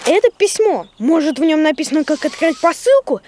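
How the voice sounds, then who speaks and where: A woman's voice: sits high, expressive, brisk, darkish tone, slight background noise. A woman voicing a patient young cartoon creature, expressively explaining and reading aloud for children. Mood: patient, helpful. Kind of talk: children's educational cartoon voice acting.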